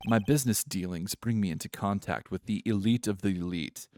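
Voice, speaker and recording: A man's voice: fairly low pitch, fairly quick, a little mellow, slight background noise, natural voice. A man voicing a smug, pompous CEO from a video game in an affected, mock posh British accent. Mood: boastful. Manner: pompous, affected, showy with big words. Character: smug pompous male CEO, slick salesman type. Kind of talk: live-read video game character voice.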